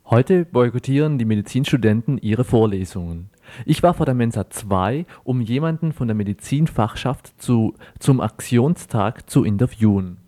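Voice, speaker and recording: Casual male reporter, medium pitch. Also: animated, normal speed, mellow. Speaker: radio reporter and interviewer.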